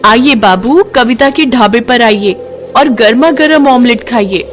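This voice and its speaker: A woman's voice: fairly high pitch, unhurried, music in the background, pitched above the performer's own voice, close to an everyday voice. A woman voicing a patient, hard-working young village woman in a cartoon, eager and pleading. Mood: cheerful. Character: patient poor young woman running a roadside eatery.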